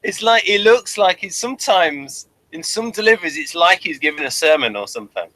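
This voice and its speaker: A man co-hosts a casual, unscripted review podcast. His voice sits fairly high for a man and has a very bright, forward edge. He talks conversationally. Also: lively intonation, brisk, no room sound, poor audio. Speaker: podcast co-host reviewing a TV show.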